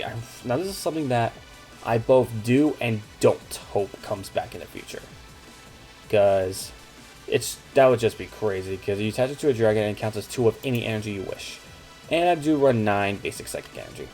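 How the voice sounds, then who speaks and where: A man hosting a card game channel, talking through a deck in an upbeat, chatty way while keeping his voice down. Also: mid-range voice. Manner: speaking softly, keeping his voice down. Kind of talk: casual deck walkthrough.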